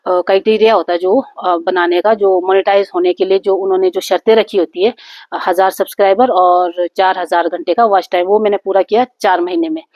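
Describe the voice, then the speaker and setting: A woman's voice: mid-range voice, fairly even intonation, brisk, a little mellow, no room sound. A woman vlogging casually about her channel, with a sore throat from a cold, rambling with many fillers and restarts. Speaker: YouTube vlogger. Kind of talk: casual chat to camera. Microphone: phone.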